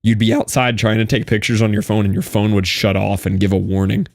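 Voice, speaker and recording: A man's voice: on the low side, flat delivery, brisk, vocal fry, a little hiss or hum. A young man casually chatting with his live-stream audience while playing, reading out and answering chat messages.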